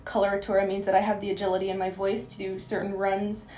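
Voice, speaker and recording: A woman of twenty-two, a guest singer on a radio show, explaining clearly and filling gaps with "you know". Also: medium pitch, monotone, fast talker, warm tone, roomy sound, a little hiss or hum. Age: young adult. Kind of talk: interview answers.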